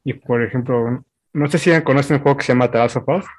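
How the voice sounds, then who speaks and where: Young man, casual chat, mid-pitched, talkative. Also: quick pace. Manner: talkative, explains with examples. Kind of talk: casual chat among friends.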